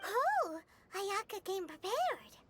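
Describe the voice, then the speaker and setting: A woman's voice: very high voice, sing-song, slow, a little hiss or hum, heavily acted. A woman voicing a small, eager, childlike fairy companion in a video game, with a chirpy, exaggerated delivery. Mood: curious. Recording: loud background music.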